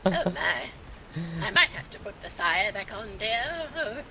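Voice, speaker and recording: Woman doing a creaky, dotty old granny. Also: mid-range voice, very animated, slow pace, sharp tone, slight background noise, full character voice. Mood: fretful. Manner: dithering, keeps exclaiming "oh dear" and calling people dear. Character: dotty, fretful old grandmother, sweet and scatterbrained. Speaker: player in a role-play game.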